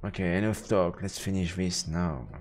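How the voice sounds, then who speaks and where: A male game streamer with a fairly low voice reads on-screen story text and comments as he plays. Faint game music runs behind him. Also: steady tone, moderate pace. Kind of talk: let's play, reading game text and reacting.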